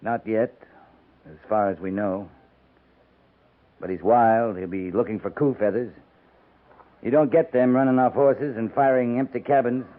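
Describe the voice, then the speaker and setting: An actor playing a firm, plain-spoken frontier cavalry captain in a 1950s radio western, terse and grave. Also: male voice, mid-range voice, a little slow, pauses often, natural voice. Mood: warning, firm. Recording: narrow tinny 1950s radio recording.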